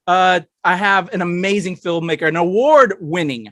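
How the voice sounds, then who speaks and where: Hype-man podcast host, high voice, super dry close sound, chatty and loud on a video call. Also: moderate pace, no echo. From United States. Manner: hype-man energy, big shout-outs, loose and chatty. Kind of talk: hyped podcast interview over video call. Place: home room full of toys and collectibles.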